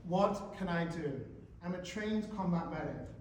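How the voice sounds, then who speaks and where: A man in his forties speaks to a room of teenagers, often stopping to put questions to them. His voice sits fairly high, and he is picked up from a distance, so the big hall echo swallows his words. A faint murmur of room noise hangs underneath. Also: unhurried. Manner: animated, asks the audience questions. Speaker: guest speaker. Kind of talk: school talk to teenagers. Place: school hall. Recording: echoey hall, speaker far from mic.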